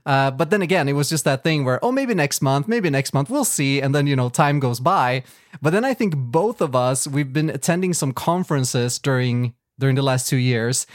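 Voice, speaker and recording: A man's voice: mid-range voice, animated, moderate pace, no room sound, studio quality. A man whose first language is Swedish, co-hosting a tech podcast in casual chat, rambling with lots of fillers and restarts. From Sweden. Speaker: podcast co-host.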